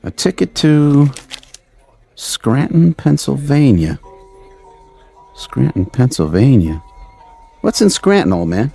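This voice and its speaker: A man's voice: medium pitch, big pitch swings, takes it slowly, vocal fry, long pauses, dark tone, slightly rough, soft background music, heavily acted. An actor playing a down-on-his-luck American ex-ballplayer, earnest and doubtful, in a hesitant, plain-spoken radio drama style. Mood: skeptical. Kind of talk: vintage radio drama acting.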